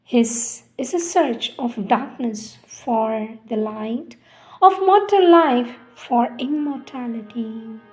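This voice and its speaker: A woman reciting spiritual poetry in a solemn, measured, deliberate way with pauses between phrases. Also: a little high, a little mellow. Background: music.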